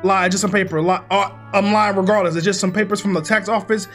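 A man's voice: high-pitched, little pitch movement, quick pace, a bit bright, faint music behind. A young American man with a slight speech impediment, casually rambling and teasing himself as he hosts a game playthrough. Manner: playful, rambling, self-teasing. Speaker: gaming video host. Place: indoor room with green screen.

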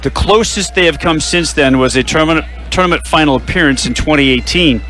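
A man hosting a radio postgame broadcast, running through final stats and sponsor reads in a measured way. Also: fairly high pitch, a bit bright, soft background music. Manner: stat-heavy, measured delivery with sponsor reads. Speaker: radio play-by-play announcer.

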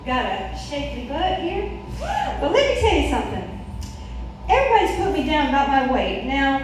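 A middle-aged woman doing a brash, profane, opinionated stand-up routine on a small club stage with a handheld mic. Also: high-pitched. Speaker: stand-up comedian. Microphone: handheld stage mic, recorded from the audience. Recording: very echoey room, distant mic, faint noise.

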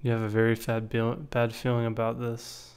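A man's voice: medium pitch, completely flat delivery, normal speed, a little mellow, smooth. A man giving casual gameplay commentary and reading game text aloud. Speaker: gamer playing and commenting. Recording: game music behind the voice. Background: game music.

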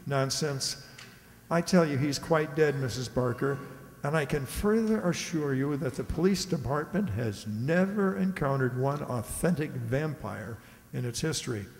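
A man's voice: medium pitch, animated, a little slow. An older man plays a matter-of-fact police inspector in an old-time radio mystery. His mid-range voice explains things steadily and brushes off fears. The hall echoes around him. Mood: brisk, dismissive. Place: stage in a community hall. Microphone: stand microphone on stage. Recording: echoey hall, live stage performance.

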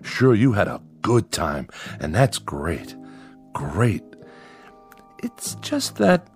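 An actor playing a nervous American farmer father in a radio drama, folksy and halting, forcing cheerful agreement to placate his son. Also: fairly low pitch, huge pitch swings, soft background music, heavily acted. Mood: anxious false cheer.

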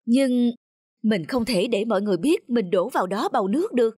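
Grumbling, sly cartoon villager. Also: female voice, on the high side, lively intonation, fairly quick, a little mellow, husky, no room sound, studio quality. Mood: annoyed. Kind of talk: animated folk-tale cartoon acting.

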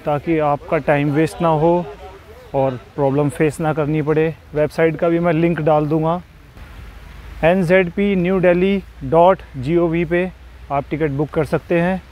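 A man's voice: a little high, steady tone, normal speed, a little mellow, rough recording. A man in his thirties, a travel vlogger chatting casually to viewers while touring a zoo outdoors on a clip-on mic. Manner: chatty, addresses viewers directly. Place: outdoors at a city zoo and riding a motorbike. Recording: outdoor traffic noise behind.

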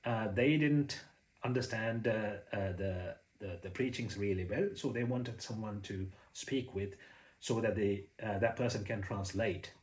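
A man in his forties with a Sri Lankan accent, a native Sinhala speaker, explaining Buddhist ideas to the camera in a halting, filler-heavy way while gesturing with his hands. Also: lowish voice. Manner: hesitant, many uh fillers and restarts. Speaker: former Buddhist preacher. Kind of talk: casual talk to camera. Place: indoor room with a home shrine.

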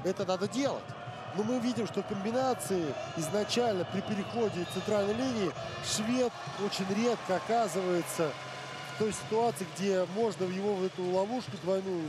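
A man giving expert color commentary on a live basketball game, analytical and halting, with frequent fillers. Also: high-pitched.

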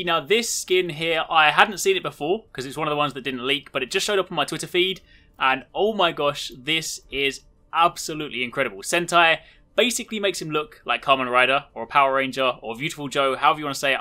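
A man's voice: fairly high pitch, fast, pushed and bright. A man giving an upbeat, casual and enthusiastic video walkthrough as a gaming host.

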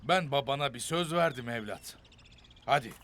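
A man voicing a steady, protective grown warrior in a children's cartoon, commanding and reassuring. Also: mid-range voice, moderate pace, noisy background, lightly acted. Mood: calm, inquiring. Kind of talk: animated children's adventure cartoon acting.

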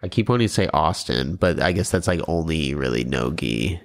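Deep, gravelly male co-host. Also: animated, fairly quick. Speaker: podcast co-host. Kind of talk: casual chat. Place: small indoor podcast studio. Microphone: podcast microphone on a boom arm.

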